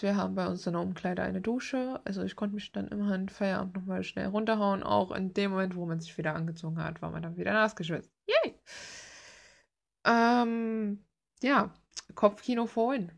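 A woman's voice: medium pitch, normal speed, creaky. A young woman chatting casually in an informal, playfully ironic way, as a vlogger narrating over a drawing video. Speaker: vlogger and illustrator.

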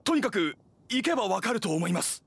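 An actor playing an earnest young guard knight in an anime game, hesitant, deferential and flustered. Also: male voice, sits high, very animated, brisk, gravelly, fairly bright tone, husky, no room sound, exaggerated voice. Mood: flustered, grateful.

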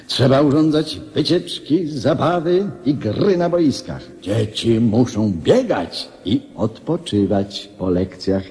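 An actor playing a loyal old government minister, reflective, courtly and gently lecturing, in a radio drama. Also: male voice, medium pitch, lively intonation, slow, hardly any pauses. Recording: reverberant hall-like sound.

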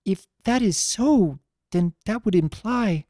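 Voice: medium pitch, expressive, slow, mellow, dry recording, studio quality, voice pushed up. A narrator voicing a formal, earnest female pony princess in a dramatic story reading, with archaic, stately diction. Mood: grave, urgent.

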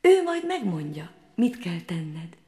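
Picture a tiny fairy-tale frog maiden, soft-spoken and coaxing, her voice lilting and sing-song as she hushes into whispers, as a woman puts her on. Her pitch rises and falls with lively swings, never loud, always tender and patient. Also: reverberant. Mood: gentle coaxing. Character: sweet little female frog, gentle and coaxing.

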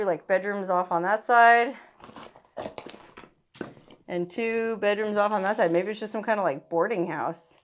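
Excitable woman exploring outdoors. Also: medium pitch. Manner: excitable, gasping, easily amazed. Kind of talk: casual handheld exploring narration. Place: outdoors in desert and inside an old mine tunnel. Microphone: handheld camera.

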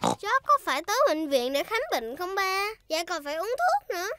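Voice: extremely high pitch, moderate pace, dry recording, studio quality, exaggerated voice. An actor voicing a bossy, curious, cheerful little girl piglet in a cartoon dub, childlike and chatty. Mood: concerned, bossy. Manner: lively, childlike, questioning. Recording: loud music bed behind.

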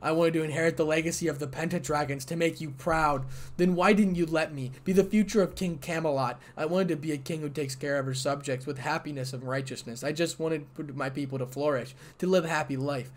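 A man's voice: medium pitch, lively intonation, moderate pace, breathy edge. A man voicing a bitter young woman knight, haunted and furious, in a fan-story reading. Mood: anguished shouting.

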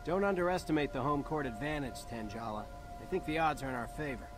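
An actor playing an earnest, plain-spoken human soldier hero in a video game, talking casually and matter-of-factly. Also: male voice, medium pitch, quick pace, music in the background, close to an everyday voice. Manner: casual, colloquial, matter-of-fact. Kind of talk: video game character acting.

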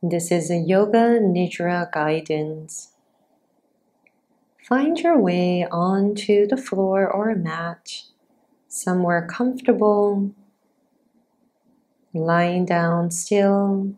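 Very slow, low-voiced woman guiding meditation. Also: darkish tone. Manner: unhurried, measured, with long pauses between short instructions. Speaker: yoga nidra teacher guiding a practice. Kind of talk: guided meditation.

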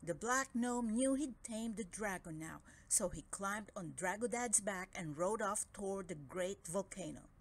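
A woman narrating a children's book aloud in an expressive storytelling style. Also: medium pitch, measured pace, few pauses.

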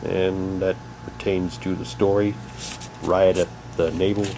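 A man's voice: deep voice, flat delivery, measured pace, creaky. Man in a noisy home recording. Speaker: unboxing vlogger. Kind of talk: casual commentary, reading prop documents aloud.

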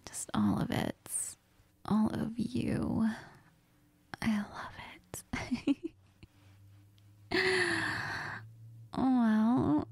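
A woman acting as a clingy, affectionate girlfriend in an intimate role-play audio. She murmurs very slowly and hesitantly in a mellow, breathy voice, close and tender. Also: a little high, no echo, full character voice. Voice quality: breathy, whispery. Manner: hesitant, giggly, tender, murmuring with Spanish endearments. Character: needy, cuddly, affectionate girlfriend who missed her partner.

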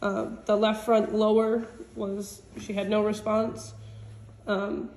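A woman's voice: mid-range voice, flat delivery, slow pace, a little mellow. A woman vet tech with a stutter, reading out exam results. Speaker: vet technician. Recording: echoey room with faint noise.

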